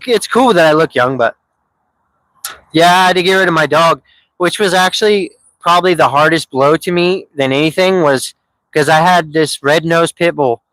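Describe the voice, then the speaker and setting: A man's voice: fairly high pitch, a bit bright, low-quality recording. A man in his thirties telling his own story on a live stream, candid and rambling, with many fillers and restarts. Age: 30s.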